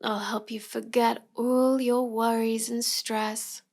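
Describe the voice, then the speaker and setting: A woman's voice: on the high side, level tone, vocal fry, darkish tone. A woman playing a cute, teasing young villainess in an intimate roleplay audio, drawing out her words as she mocks the listener. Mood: coaxing, gloating. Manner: slow, teasing delivery with drawn-out words and giggles. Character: cute, teasing, mocking young villainess with mind-control powers.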